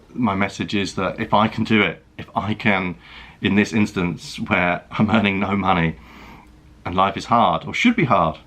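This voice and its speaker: A man in his thirties from the UK speaks with a very low, deep voice. He talks earnestly and reflectively to camera in a personal vlog. His delivery is halting, full of restarts and "you know". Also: a bit bright. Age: 30s. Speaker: food and travel vlogger.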